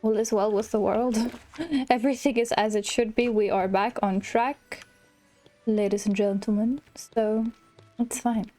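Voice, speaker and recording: A woman hosting a gaming video, chatting casually and excitably as she plays and often exclaiming. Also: on the high side, measured pace, faint music behind. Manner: excitable, exclamatory, chuckles at herself. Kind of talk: casual let's-play chat, reading game dialogue aloud.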